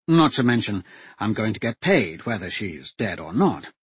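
Patient swordsman explaining stuff, guy reading him, lively, breathy. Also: on the low side, moderate pace, dry recording, heavily acted.

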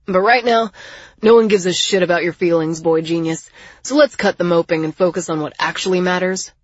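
A woman narrator performs a harsh, angry young man for an audiobook. He is blunt and mocking, with a rough husky tone. The recording is slightly dull. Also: on the low side, slow, a bit bright, no echo, heavily acted. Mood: harsh impatience.

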